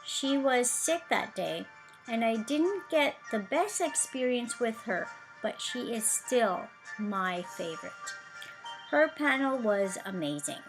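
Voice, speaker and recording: Slow-paced woman narrating over music. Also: fairly high pitch, lively intonation, poor audio. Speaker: vlogger narrating a convention scrapbook.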